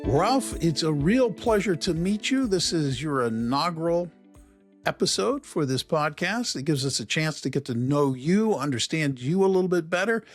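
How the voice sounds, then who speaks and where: A man interviewing a podcast guest in a friendly, chatty way, stumbling and restarting his sentences now and then. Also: on the high side.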